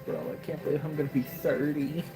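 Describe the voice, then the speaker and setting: A woman's voice: low-pitched, steady tone, a little fast, over loud music. A woman in her twenties casually commenting on her gameplay during a live stream, wry and joking, often exclaiming to herself. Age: 20s.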